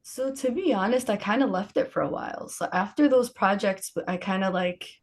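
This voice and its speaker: A woman's voice: mid-range voice, normal speed. Casual, chatty woman from Palestine. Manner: informal, frequent laughter. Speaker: podcast guest, embroiderer. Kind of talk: casual conversational storytelling.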